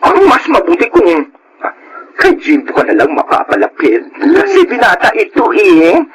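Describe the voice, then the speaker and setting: A woman playing an excitable, meddling young office friend who exclaims dramatically in a Tagalog radio drama. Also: mid-range voice, moderate pace. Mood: cautioning. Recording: faintly noisy radio drama recording.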